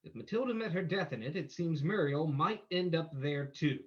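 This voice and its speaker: A man playing a composed, shrewd gentleman detective with a dry, decisive manner in an old-time radio play. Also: a little high, normal speed, highs cut off, background noise, natural voice.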